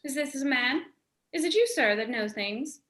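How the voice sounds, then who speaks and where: A woman's voice: high voice, expressive, moderate pace, a bit bright. A woman playing a pert female royal attendant in a Shakespearean radio play, recorded in an echoey room. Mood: playful. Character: Charmian, lively female attendant to a queen.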